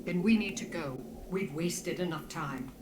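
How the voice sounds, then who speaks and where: A woman's voice: on the low side, a little slow, noisy background, lightly acted. An actress playing a resolute, impatient female adventurer in a dramatic fantasy video game. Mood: firm.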